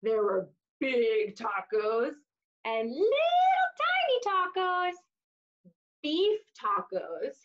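A woman in her thirties reading a picture book aloud to young children, expressively, with exaggerated emphasis and drawn-out words. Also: high voice, a bit bright, lo-fi sound. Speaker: children's storytime reader. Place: small room indoors, seated on floor.

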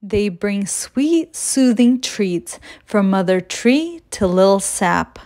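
Female children's storyteller, medium pitch, unhurried and deliberate picture-book narration. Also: animated, darkish tone. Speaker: children's storytime reader and host. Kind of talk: scripted read-aloud of a children's picture book.

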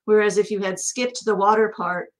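A woman's voice: medium pitch, fairly even intonation, moderate pace. A middle-aged woman with an American accent, co-hosting a casual, conversational question-and-answer chat. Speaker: co-host. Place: small indoor office.

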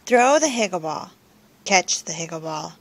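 A woman reading a children's picture book aloud in simple, expressive phrases for young listeners, recorded at home on a phone. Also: fairly low pitch, moderate pace. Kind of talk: children's storybook read-aloud. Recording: noisy home recording with clicks.

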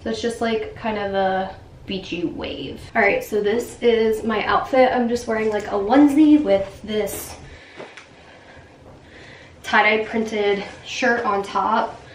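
Slow, chatty young woman vlogger in an echoey home. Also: medium pitch. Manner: chatty, relaxed, many fillers. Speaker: lifestyle vlogger. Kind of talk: casual vlog talk to camera. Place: home bathroom and kitchen, indoors. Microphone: camera mic at arm's length or on a stand. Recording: echoey room, faint background noise.

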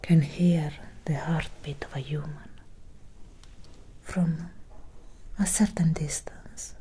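Woman playing a whispering, slow-spoken vampire doctor. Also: sits low, fairly even intonation, dark tone, exaggerated voice. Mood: gentle, wistful. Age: young adult. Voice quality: breathy whisper. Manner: slow, measured, solemn. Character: centuries-old female vampire doctor, grave, gently caring. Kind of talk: soft-spoken ASMR roleplay.

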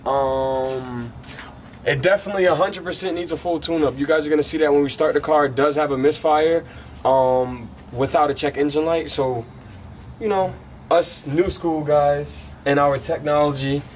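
A man's voice: a little high, moderate pace, slight background noise. Chatty young man in a driveway. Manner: relaxed, chatty, lots of ums. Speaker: vlogger. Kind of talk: casual car walkaround. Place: outdoors in a driveway.

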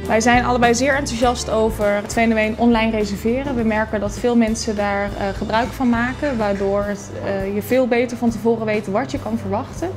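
A woman in her thirties with a slightly raised voice explains how their restaurant works. She speaks clearly, pausing now and then for a hesitation. Music plays clearly in the background. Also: on the high side, steady tone. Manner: tidy, explanatory, with occasional hesitations. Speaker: restaurant owner. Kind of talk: promotional interview talk. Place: restaurant interior. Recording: music bed playing underneath.

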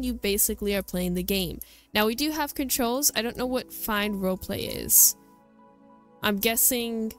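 A woman hosting a gaming video, giving a chatty, enthusiastic, casual walkthrough commentary. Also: mid-range voice.

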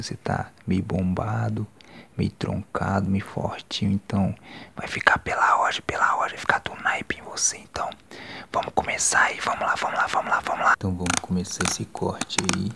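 A young man in his twenties with a Brazilian accent, doing a soft-spoken, whispered ASMR barber roleplay in a casual, slangy way. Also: on the low side, little pitch movement, fairly quick, vocal fry, breathy edge. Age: 20s. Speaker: ASMR creator playing a barber. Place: small decorated indoor room. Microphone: handheld phone microphone up close.

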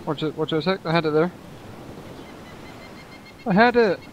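A man playing a survival game with a friend, chatting casually with loud teasing outbursts and swearing. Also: a little high, normal speed, lo-fi sound, slight background noise. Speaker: fellow player. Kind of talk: casual gaming chat.

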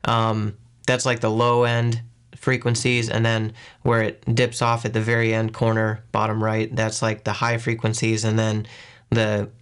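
A man's voice: medium pitch, smooth. A man chatting casually as a co-host, stepping in to clarify for listeners. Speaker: co-host asking clarifying questions. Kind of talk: casual chat.

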